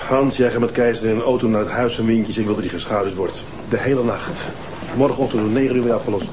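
Casting: male actor for blunt, stubborn police detective in a TV drama. Dry, curt, quick delivery over clearly noisy sound. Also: lowish voice, lightly acted. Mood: brisk, businesslike. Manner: dry, curt, giving orders. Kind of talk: naturalistic TV crime drama dialogue.

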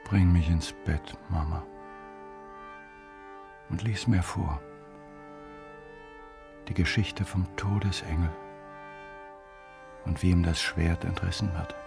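An actor playing a weary, childlike murderer in an intimate, brooding radio drama monologue. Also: male voice, low voice, fairly even intonation, slow pace, creaky, long pauses, music in the background, exaggerated voice.

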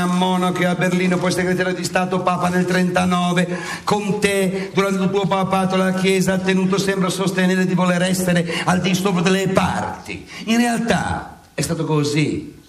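A needling, self-glorifying friar saint in a stage satire. He argues in a high-pitched voice with sarcastic, mock-courtly grandeur. The sound is roomy and far from the microphone, with some noise. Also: normal speed. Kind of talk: theatrical satirical stage monologue and debate. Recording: echoey stage, distant mic, faint noise.

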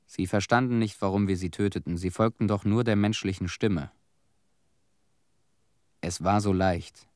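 An actor playing a haunted disaster cleanup veteran in a radio play, telling his story in short, measured, somber phrases. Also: lowish voice, no room sound, studio quality. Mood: haunted, sorrowful. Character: haunted Chernobyl cleanup veteran, a man bearing witness.

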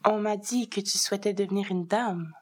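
A woman's voice: mid-range voice, fairly even intonation, brisk, lightly acted. A woman voicing a powerful, amused witch who rules a coven, honeyed, teasing and self-assured, in a narrated story. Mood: honeyed challenge.

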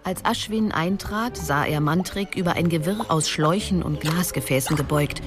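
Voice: medium pitch, moderate pace, close to an everyday voice. A narrator telling a radio play in a scripted storybook style. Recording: loud music behind the voice.

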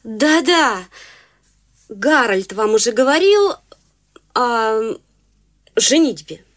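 A woman's voice: fairly high pitch, expressive, slow. An actress playing a prim, proper middle-aged society mother who speaks politely, formally and with some hesitation in a radio play. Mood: uneasy. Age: middle-aged (figure).

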